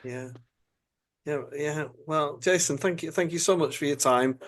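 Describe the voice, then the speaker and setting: A British man hosting a podcast interview, asking long, halting questions full of stammers and fillers. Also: a little high, a bit bright. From United Kingdom. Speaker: podcast host.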